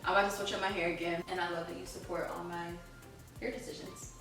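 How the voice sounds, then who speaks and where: A woman's voice: lowish voice, flat delivery, quick pace. Playful woman in an echoey room. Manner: playful, laughs often. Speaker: podcast co-host. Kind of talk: casual chat. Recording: echoey room, distant mic, faint music.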